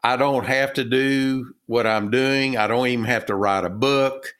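Slow-talking Texan man, lots of fillers. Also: medium pitch, fairly even intonation, a bit bright. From Texas. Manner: slow, halting, many fillers and restarts. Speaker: guest, retired business owner and author. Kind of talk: casual interview talk.

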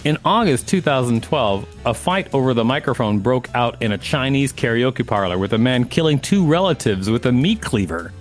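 A man's voice: mid-range voice, very animated, moderate pace, hardly any pauses, faint music behind. A man co-hosting a podcast, reading an article aloud and adding casual asides. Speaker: podcast co-host.

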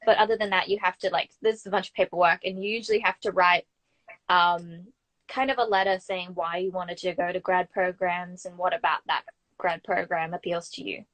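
A woman's voice: fairly low pitch, steady tone, fairly quick, a bit bright, rough recording. A young adult woman with an Australian accent, talking in an informal, anecdotal way as the guest in a casual interview. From Brisbane, Australia. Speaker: guest, actor and acting student.

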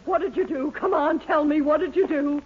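An actress playing an excitable, exasperated mother of the house in a 1940s radio sitcom. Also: high voice, moderate pace, close to an everyday voice. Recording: muffled, noisy old 1940s radio recording.

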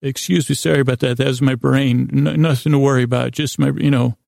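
Creaky-voiced, mellow man rambling gently. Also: mid-range voice, steady tone, no echo, studio quality. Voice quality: creaky, gravelly. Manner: meandering, halting, self-interrupting, goofy. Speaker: podcast host. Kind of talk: rambling improvised bedtime podcast monologue.

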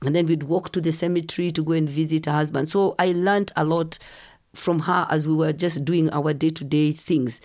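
A middle-aged woman from Kenya, telling lively stories as a podcast guest and acting out the voices of people she quotes. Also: low voice, moderate pace. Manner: animated storyteller, acts out quoted voices. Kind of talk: casual storytelling interview.

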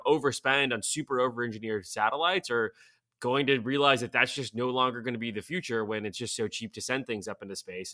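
A young man from Bulgaria, a startup founder explaining things in a casual interview, quick and full of fillers like "like" and "you know". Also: medium pitch, sharp tone.

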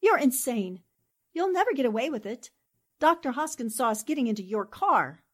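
A plucky newspaperwoman played by a woman speaks in a high voice with big swoops of pitch. She is quick-witted, earnest and emphatic, in the manner of a 1940s radio drama. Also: very animated. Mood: defiant, tense.

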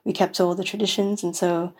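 A woman's voice: lowish voice, flat delivery, fairly quick, darkish tone, slightly rough. A woman from New York City, a podcast guest, talking in a rambling casual way with many fillers and restarts. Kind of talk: casual interview chat.

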